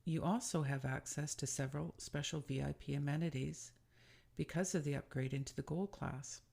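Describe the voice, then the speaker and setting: A woman speaking as a spiritual guide, leading a scripted guided meditation in a soothing, deliberate and gently instructive manner. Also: sits low, steady tone, gravelly, husky.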